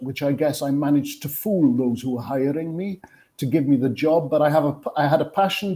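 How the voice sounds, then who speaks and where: A man's voice: a little high, mellow. A man in his fifties from Wales with a Welsh accent, recalling his career conversationally as an interview guest. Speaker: interview guest, television sports producer.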